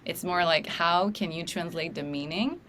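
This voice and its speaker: A woman hosts a casual interview in English with a French accent, her voice a touch low. She pauses and restarts as she searches for words. A faint noise sits under the recording. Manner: warm, hesitant, searching for words. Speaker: podcast host.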